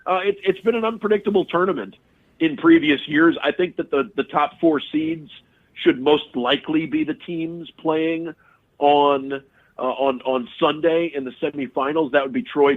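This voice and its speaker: A man talking sports as a guest analyst in a conversational, halting way, often repeating words mid-sentence. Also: on the high side, lively intonation. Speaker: sports radio host and play-by-play broadcaster, podcast guest. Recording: tinny, phone-like remote connection.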